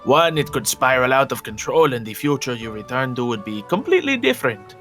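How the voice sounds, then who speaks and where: A man's voice: medium pitch, sing-song, a little fast, a bit bright. A man playing a pedantic, lecturing wizard's mentor in an improvised video game roleplay. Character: pedantic adult male know-it-all, mentor to young wizard. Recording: background music under the voice.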